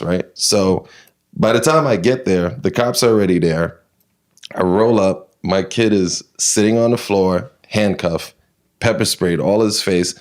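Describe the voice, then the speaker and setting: A man's voice: deep voice, darkish tone. A man from New York, a guest in a casual interview, retelling personal stories with lots of fillers and restarts.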